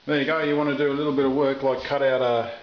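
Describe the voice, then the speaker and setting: A middle-aged man explaining his tiling work in a casual, halting way, with many fillers, filmed indoors in a kitchen on a camera mic. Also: mid-range voice, little pitch movement. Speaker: home renovator. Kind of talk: casual how-to commentary while working. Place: kitchen under renovation, indoors. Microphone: camera mic, off-camera speaker. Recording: roomy, dull camera audio with faint noise.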